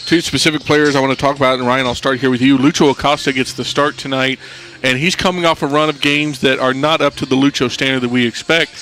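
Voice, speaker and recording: A man's voice: mid-range voice, fairly even intonation, fast, a bit bright. A man hosting a radio soccer pregame show, reading the lineups and putting questions to his analysts. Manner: reads lineups, then poses questions to the analysts. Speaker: pregame host.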